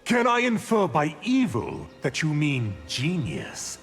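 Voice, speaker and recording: A man voicing an arrogant, sinister underworld sorcerer in a video game, with a smug, taunting, deliberate delivery. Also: fairly high pitch, huge pitch swings, heavily acted. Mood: smug menace. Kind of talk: video game villain acting. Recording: game dialogue with music behind.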